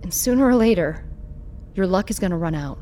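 An actress playing a blunt, world-weary parts dealer in a science-fiction audio drama, talking in a familiar way. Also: female voice, on the low side, lively intonation, fairly quick, warm tone, a little hiss or hum, natural voice. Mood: stern, concerned.

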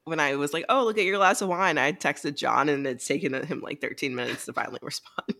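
A woman's voice: low-pitched, fairly quick, a bit bright. A woman co-hosting a book podcast, talking casually in blunt, slangy language. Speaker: co-host of a book recap podcast.

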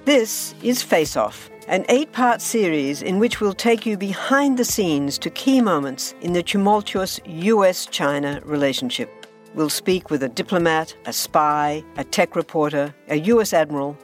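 A woman's voice: deep voice, expressive, measured pace. A woman journalist narrating a scripted podcast promo as its host. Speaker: foreign correspondent and podcast host. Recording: music bed behind the voice.

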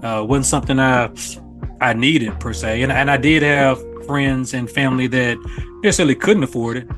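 A man from Arkansas with an American accent speaks at a medium pitch. He is a podcast guest, talking in a casual, reflective, conversational way. Music plays faintly behind his voice. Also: normal speed, a bit bright. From Little Rock, Arkansas, US.

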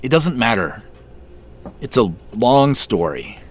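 A man's voice: mid-range voice, very animated, slow. An actor playing a defensive young man who has been caught sneaking around a farm and turns accusing in an audio drama.

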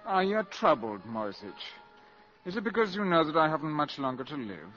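An actor playing a suave, self-assured valet turned spy in a 1950s radio drama, sardonic and theatrically polite. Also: male voice, on the high side, big pitch swings, normal speed, a bit bright, faint music behind. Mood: needling. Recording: dull old 1950s radio recording.

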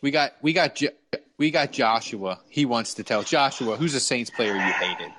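A man's voice: medium pitch, expressive, moderate pace, pushed and bright. A man hosts a loose sports talk show in a mid-range voice. He talks like a fan among friends, stammering and doubling back on phrases as he starts them. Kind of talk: casual sports fan chat.

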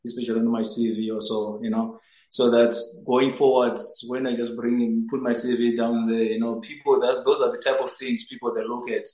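Young man from Zimbabwe, goalkeeper being interviewed, steady monotone delivery, echoey room. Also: mid-range voice, a little fast. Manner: earnest, repeats words while searching for them. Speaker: footballer, goalkeeper. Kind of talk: interview answers over a remote link.

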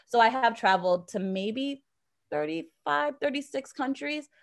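A woman's voice: on the high side, lively intonation, measured pace, creaky. A woman with an American accent speaking as an interview guest, telling personal stories conversationally and repeating phrases for emphasis. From born in Jamaica, grew up in Boston, USA. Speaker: podcast guest, anthropologist and professor.

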